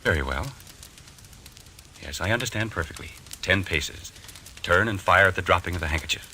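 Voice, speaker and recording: Intense, low-voiced obsessed husband. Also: male voice, moderate pace, bright, forceful tone. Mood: formal, dreamy. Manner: intense, theatrical delivery. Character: obsessed, volatile husband dreaming of fighting a duel. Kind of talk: old-time radio mystery acting. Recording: old radio recording, faint noise.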